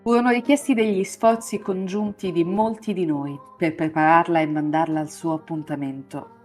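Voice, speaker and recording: A woman's voice: lowish voice, steady tone, normal speed, a little mellow, faint music behind. A woman with an Italian accent, narrating a scripted story as part of a live reading.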